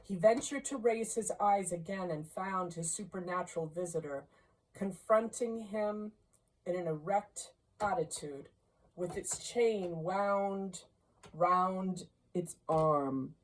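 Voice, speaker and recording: A woman narrates an old tale with care and expression. Her delivery is slow and measured, and she sounds a little distant from the mic. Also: on the low side. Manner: measured, deliberate. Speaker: storybook reader. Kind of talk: expressive scripted narration of a classic story.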